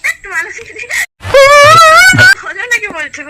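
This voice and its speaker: A young woman in a casual phone chat, animated and full of questions. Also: very high voice, normal speed, background noise. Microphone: other end of a phone call.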